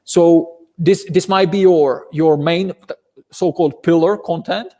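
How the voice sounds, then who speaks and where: A man with a Czech accent talks into a phone during a live chat, his English careful and non-native. He speaks in a slightly high voice, often hesitating and repeating words. The phone audio carries a long, hall-like reverb. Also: fairly even intonation, unhurried, warm tone. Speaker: co-host.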